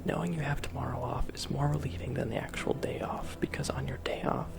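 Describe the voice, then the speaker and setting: A man giving a dramatic, deadpan, mock-serious voiceover in a gravelly half-whisper. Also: medium pitch, fairly quick. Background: music.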